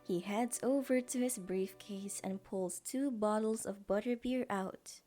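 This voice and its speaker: A woman's voice: medium pitch, a little slow, mellow, soft background music. A woman reading scripted story narration as the narrator of an audio drama.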